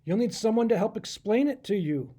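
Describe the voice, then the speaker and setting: Man playing a kind wise king in a kids book, soft husky voice, measured, giving advice. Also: high-pitched, animated, voice pushed up. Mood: kindly guiding. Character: wise, kindly, guiding storybook king.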